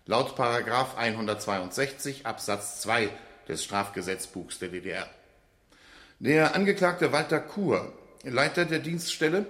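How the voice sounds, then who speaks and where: An echoing room carries the voice of a stern male judge played by an actor in a radio drama. He is mid-pitched, formal and declamatory as he pronounces judgement. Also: fairly bright tone, lightly acted. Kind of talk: radio drama acting, formal verdict reading. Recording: roomy, echoing courtroom-like acoustic.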